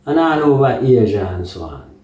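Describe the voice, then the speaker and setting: A man with a Jamaican accent giving an unscripted political monologue in patois-tinged phrasing, deliberate and halting, often checking that the listener follows. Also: medium pitch, very animated, mellow. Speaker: political commentator. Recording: echoey room, distant microphone.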